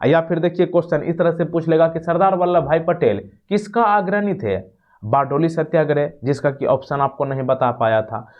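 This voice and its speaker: A man's voice: fairly high pitch, normal speed, dull audio. A man teaching in quiz format, explaining and repeating questions and answers like an exam-prep tutor. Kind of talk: quiz lesson, reading questions then giving answers.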